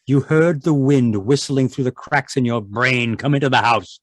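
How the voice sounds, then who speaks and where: A man's voice: mid-range voice, normal speed, no room sound, studio quality, close to an everyday voice. A man playing an irritable, domineering middle-aged scientist husband in an old-time radio drama, contemptuous and blustering. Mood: scornful. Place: indoors, live video call performance.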